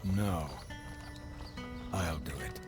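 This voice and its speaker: A man's voice: low voice, drawn-out words, darkish tone. A grim, resolute frontier leader in a video game cutscene. He speaks in dramatic scenes with music playing behind him. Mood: somber.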